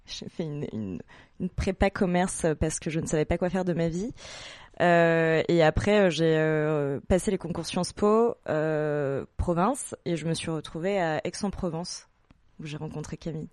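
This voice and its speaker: A woman in her late twenties from Normandy, a guest on a radio show, talking casually with many pauses and restarts. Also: low voice, a little mellow, no room sound. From Normandy, France. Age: late 20s. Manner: hesitant, many fillers and restarts. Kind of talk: casual interview chat.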